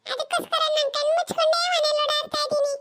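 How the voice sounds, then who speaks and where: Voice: very high-pitched, level tone, quick pace, fairly bright tone. A performer voicing a silly, gullible young schoolboy with a childish, earnest way of talking in a comedy cartoon.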